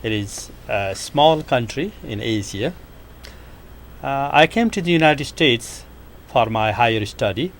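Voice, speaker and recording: Slow, measured man. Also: mid-range voice, expressive, slight background noise. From Nepal. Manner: measured, hesitant, with frequent pauses and fillers. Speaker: economics professor, guest. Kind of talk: studio interview answers. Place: university TV studio.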